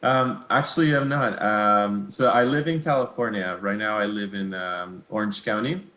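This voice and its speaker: A young man from Mexico whose first language is Spanish, answering interview questions in a relaxed, hesitant way with many pauses and small laughs. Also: fairly low pitch, echoey room. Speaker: guitarist, interview guest.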